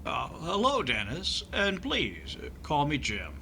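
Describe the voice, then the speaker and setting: Man playing halting, creaky, good-natured movie star. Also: on the high side, huge pitch swings, bright, forceful tone, slight background noise, exaggerated voice. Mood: polite, halting. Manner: hesitant, drawn-out, stammering delivery. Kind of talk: 1950s radio comedy acting.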